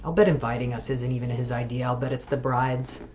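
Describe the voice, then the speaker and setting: A woman's voice: very deep voice, steady tone, brisk, darkish tone, a little hiss or hum. A woman reading a blunt, sardonic sister from a novel in a dry, dismissive way.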